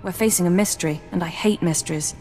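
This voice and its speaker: An actress voicing a composed female intelligence officer who speaks in a measured, dry way, in a video game cutscene. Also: fairly low pitch, mellow, soft background music, lightly acted. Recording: long hall reverb.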